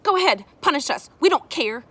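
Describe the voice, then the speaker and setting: A woman voicing a brash, defensive young girl in a storybook, blurting bluntly and excitably. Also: very high voice, brisk, pitched above the performer's own voice, heavily acted. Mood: defiant.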